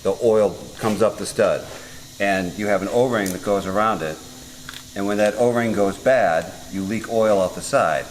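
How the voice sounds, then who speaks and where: A man's voice: lowish voice, moderate pace, slight background noise, rough recording. A man with a Massachusetts accent, a guest mechanic chatting casually while explaining engine parts in a workshop. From Massachusetts, USA. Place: motorcycle workshop.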